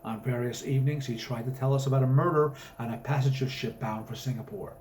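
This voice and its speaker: Guy narrating a short story, kinda dramatic, mid-pitched voice, noisy background. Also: fairly even intonation, quick pace, darkish tone. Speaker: author reading his story aloud.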